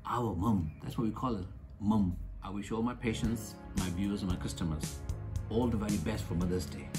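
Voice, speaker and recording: A man's voice: on the low side, brisk, over music. Earnest older man speaking like a preacher. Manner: earnest and preacher-like, with repeated appeals. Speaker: clinic doctor giving a holiday message. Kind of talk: heartfelt scripted address to camera. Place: small office with certificates on the wall.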